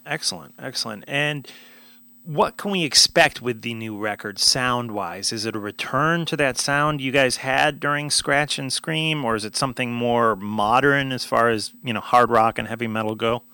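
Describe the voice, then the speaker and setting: A man's voice: mid-range voice, normal speed, sharp tone, clean tone. A man interviewing a musician, asking questions and answering with short, eager affirmations.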